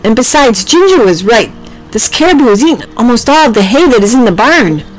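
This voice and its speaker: A woman's voice: fairly high pitch, sing-song, a little fast, voice pushed up, rough recording. A woman voicing Packy, a practical, matter-of-fact barn animal in a children's story.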